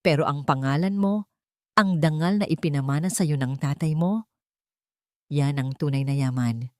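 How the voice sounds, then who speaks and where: A woman voicing a gentle, wise, steadfast elderly mother in an audio story, speaking softly and calmly. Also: low-pitched, dry recording, studio quality, close to an everyday voice. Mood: gentle, firm. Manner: soft, calm, understanding, unhurried.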